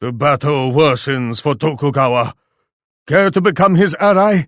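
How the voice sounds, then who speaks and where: An actor playing a proud, menacing shogun warlord in a video game, speaking in a haughty, formal, theatrical way. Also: male voice, a little high, normal speed, fairly bright tone, no echo, studio quality, close to an everyday voice. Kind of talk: video game character taunts.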